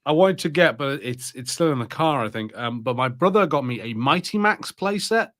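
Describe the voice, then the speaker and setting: A man co-hosting a podcast, chatting casually in a halting, hesitant way. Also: medium pitch, animated, fairly bright tone. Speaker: podcast co-host. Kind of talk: casual chat.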